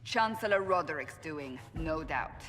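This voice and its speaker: Stern warrior officer in echoing hall. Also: female voice, low-pitched, lively intonation, measured pace, fairly bright tone. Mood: resolute. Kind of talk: video game cutscene acting.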